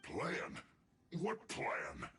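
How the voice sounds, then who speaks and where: A man's voice: low voice, huge pitch swings, drawn-out words, sharp tone, heavily acted. A man playing a hapless henchman in a video game, protesting in an aggrieved, theatrical way. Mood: indignant. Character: hapless male henchman, indignant and whiny under pressure. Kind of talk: video game character acting. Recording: game music behind the voice.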